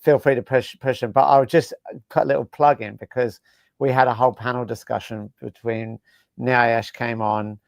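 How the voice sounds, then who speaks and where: A man speaking as a guest in a casual interview, brisk and a little dismissive. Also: mid-range voice, steady tone, vocal fry, no echo. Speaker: guest, co-author.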